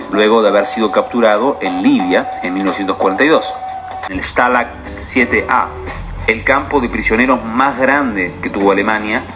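A man in his forties giving a scripted explainer narration, posing questions and walking through ideas with an animated, questioning delivery. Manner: animated, questioning delivery with wide pitch swings. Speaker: video essay presenter. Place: indoor studio with painted wall. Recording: music bed behind the voice.

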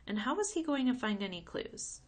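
A woman reading a children's chapter book aloud with expressive storybook narration. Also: a little high, brisk.

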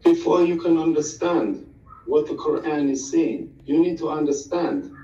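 A man delivers a slow, flowery speech like a sermon in a mellow tone. He sounds far from the mic, in an echoey room, and the audio is dull and lo-fi. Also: a little high. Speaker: debate guest. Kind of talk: prepared speech in a debate.

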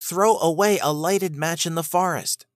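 Man as a high-pitched, cautioning storybook dog. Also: unhurried, dry recording, clean studio sound, lightly acted. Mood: alarmed scolding. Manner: urgent, admonishing. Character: concerned male dog friend, earnest and cautioning. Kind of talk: children's storybook character.

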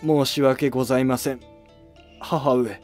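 A man's voice: mid-range voice, fairly even intonation, unhurried, darkish tone, faint music behind, close to an everyday voice. A man playing a young prince of old Japan, polite and troubled. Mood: remorseful. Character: apologetic young Heian prince.

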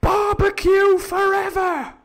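A man puts on a rowdy pirate crew from a storybook. The voice leaps very high and chants in loud, shrill, excitable shouts. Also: slow pace, exaggerated voice. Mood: rowdy cheering. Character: excitable crowd of cheering male pirate mutineers. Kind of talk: theatrical storybook reading.

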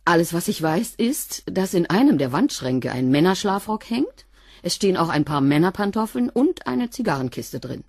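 A woman's voice: on the low side, very animated, normal speed, a little mellow, no echo, studio quality. A woman playing a guarded housekeeper with a past in prison, giving curt answers in a radio play.

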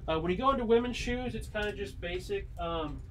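A young man casually showing bowling shoes in a small pro shop, relaxed and full of ums and uhs, heard from a camera a few meters away. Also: high-pitched, a little fast, a little hiss or hum. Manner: easygoing, many ums and uhs, showing items in hand. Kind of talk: casual product walkthrough. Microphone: camera mic at a few meters.

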